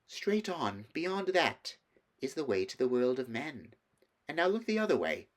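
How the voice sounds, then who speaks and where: A man voicing a sly witch queen in a smooth, wheedling storybook manner. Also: medium pitch, big pitch swings, a little slow, pitched above the performer's own voice. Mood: commanding, coaxing. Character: sly, coaxing witch queen, a grown woman.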